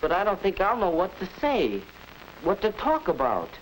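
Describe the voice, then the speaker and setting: A man's voice: high voice, huge pitch swings, normal speed, low-quality recording. Earnest American teen boy, 1940s educational film; hesitant, nervous, boyish; light soundtrack hiss. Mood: anxious. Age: teenager. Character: earnest, nervous teenage boy planning first date. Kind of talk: 1940s instructional film acting.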